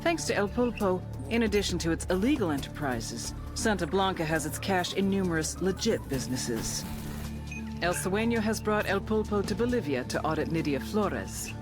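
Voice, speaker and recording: An actress playing a tough intelligence handler in a game, a giving an assured, matter-of-fact mission briefing. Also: female voice, lowish voice, lively intonation, moderate pace, close to an everyday voice. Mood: businesslike, tough. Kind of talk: scripted mission briefing narration. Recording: music behind the voice.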